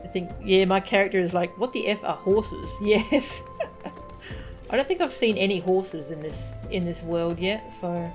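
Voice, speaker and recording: A woman's voice: fairly low pitch, a little slow, soft background music. A woman streaming a video game, chatting casually as she plays. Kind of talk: casual live stream chat and reading game dialogue aloud.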